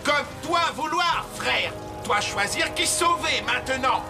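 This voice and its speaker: A man voicing a menacing, taunting robot brother in a French cartoon dub, sneering in halting broken phrases. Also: very high-pitched, lively intonation, creaky, heavily acted. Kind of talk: cartoon dubbing. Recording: loud music behind.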